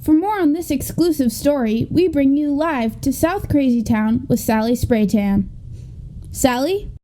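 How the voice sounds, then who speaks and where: A woman's voice: high-pitched, animated, measured pace, warm tone. A woman playing a chirpy TV news anchor with a mock newscast delivery. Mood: polished, upbeat.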